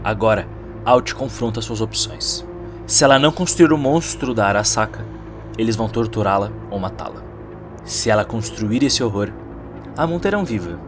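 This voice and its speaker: A Brazilian man narrating a dramatic scripted audio story in a theatrical, deliberate way, with short emphatic phrases. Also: on the low side, animated. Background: prominent music.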